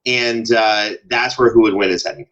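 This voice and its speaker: A man talking as a podcast guest in an emphatic, expressive and enthusiastic way, in casual interview conversation. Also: on the low side, normal speed, highs cut off. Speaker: podcast guest, show producer. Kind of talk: animated casual interview talk.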